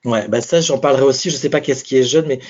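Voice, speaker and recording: A man's voice: medium pitch, quick pace, a little mellow. A man presenting a live webinar as a speaking coach, talking conversationally and thinking aloud with restarts. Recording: muffled webinar audio.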